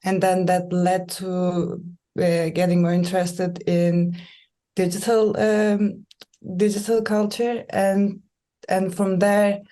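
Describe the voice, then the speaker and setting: Flat-voiced young woman. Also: on the low side, slow. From Turkey. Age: young adult. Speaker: panel guest, junior data scientist. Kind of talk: webinar self-introduction. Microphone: video call.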